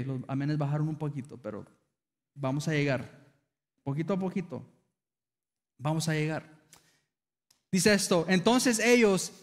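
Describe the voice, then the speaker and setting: Man, Argentine accent, pastor, earnest sermon, high voice, long church-hall reverb. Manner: earnest preaching, calls the congregation for amens. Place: church sanctuary.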